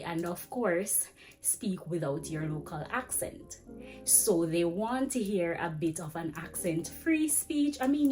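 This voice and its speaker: A young woman giving chatty, fast-paced teaching advice to camera in a small indoor room. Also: fairly low pitch. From Jamaica. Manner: chatty, fast-talking, animated with hand gestures. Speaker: vlogger and ESL teacher. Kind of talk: casual advice vlog. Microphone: camera framing head to chest.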